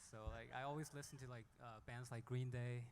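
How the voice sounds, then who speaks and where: A man from South Korea, an indie musician, speaking off the cuff and haltingly on a panel. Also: medium pitch, little pitch movement, background noise. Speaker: indie singer-songwriter on a panel. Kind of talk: unscripted panel talk. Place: hall at a music conference panel. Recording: echoey hall with long reverb.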